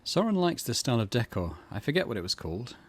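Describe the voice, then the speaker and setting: A man's voice: mid-range voice, big pitch swings, fast talker, husky. A man hosting a gaming channel, giving conversational, musing let's play commentary and reading game text aloud.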